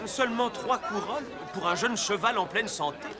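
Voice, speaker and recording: A man's voice: sits high, normal speed, natural voice. An actor playing a skeptical townsman haggling in a fairy-tale cartoon. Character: skeptical male townsman haggling over a horse. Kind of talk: French animated fairy-tale cartoon acting. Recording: noisy outdoor market crowd sounds.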